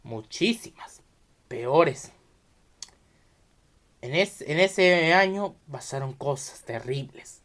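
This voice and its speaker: A Mexican man hosts a solo video podcast, talking straight to his viewers. His voice is bright and swings freely up and down. He speaks haltingly, circling back and repeating phrases as he explains. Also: on the high side, lively intonation. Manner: halting, repetitive, often restarting sentences mid-phrase.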